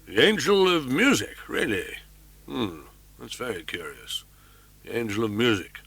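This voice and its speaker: A man voicing a calm, skeptical male police commissary who speaks with dry amusement. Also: medium pitch, a little slow, creaky, slightly rough, no echo, full character voice. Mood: amused.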